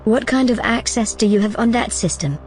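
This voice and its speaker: A woman's voice: on the high side, animated, brisk, darkish tone, natural voice. A woman playing a determined artificial intelligence in an audio drama, composed, persuasive and insistent. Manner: composed, insistent, matter-of-fact. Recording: music behind the voice.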